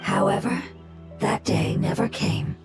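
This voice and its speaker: A woman voicing a wise, gentle old fungus creature in a fantasy game, with breathy, formal phrasing. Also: a little slow, natural voice. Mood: reverent, wistful. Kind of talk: fantasy video game dialogue. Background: prominent background music.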